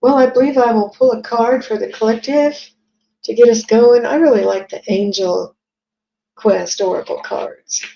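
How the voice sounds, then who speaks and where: A woman's voice: on the high side, normal speed, dark tone. Scratchy-voiced woman hosting a live reading. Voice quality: scratchy, gravelly voice. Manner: chatty, friendly, reads viewer comments aloud. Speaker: psychic reader streaming live. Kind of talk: casual live-stream chat and card reading. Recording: dull lo-fi stream audio.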